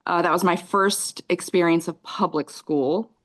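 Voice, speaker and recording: Slow, low-voiced woman guest. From Raleigh, North Carolina; raised in Cambodia. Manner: hesitant, many ums and restarts. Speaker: podcast guest. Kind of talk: casual interview chat.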